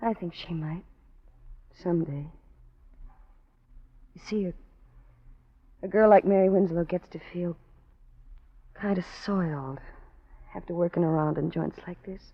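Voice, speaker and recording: A woman's voice: on the low side, a little slow, pauses often. An actress playing a world-weary, guarded young nightclub woman in a 1940s radio drama, speaking hesitantly and wistfully. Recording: dull 1940s radio recording.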